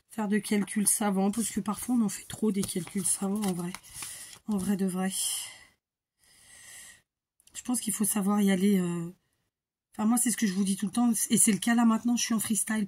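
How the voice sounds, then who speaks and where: A middle-aged woman from the Paris region talks. She hosts a crafting tutorial in a loose, chatty way, full of fillers and restarts. Her pitch sits in an ordinary middle range. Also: darkish tone, husky. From Paris region, France. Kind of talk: casual crafting tutorial talk.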